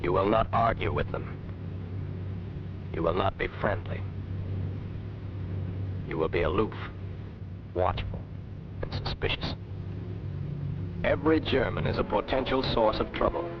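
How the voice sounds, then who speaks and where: A man's voice: lowish voice, a little slow, pauses often, background noise. An American man reading a scripted 1940s wartime documentary narration in a stern, emphatic way, with clipped dramatic phrasing. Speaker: propaganda film narrator. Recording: dull 1940s archive film soundtrack, music.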